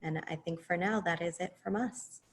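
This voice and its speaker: A woman's voice: lowish voice, moderate pace. A woman giving semi-formal staff updates on a conference call in a careful, reassuring manner. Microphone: conference call line.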